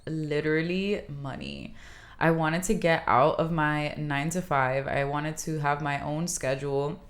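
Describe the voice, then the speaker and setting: A young woman from Miami chats with a laid-back, frank ease and breaks into giggles often. Her voice sits notably low for a woman. The talk is relaxed and unscripted, as a podcast guest answering questions. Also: unhurried. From Miami, Florida. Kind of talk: casual chat.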